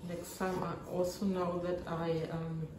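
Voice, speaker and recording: A German woman with a German accent, narrating her resin crafting casually and often pausing to search for English words. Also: fairly low pitch, flat delivery, echoey room, far from the mic, background noise.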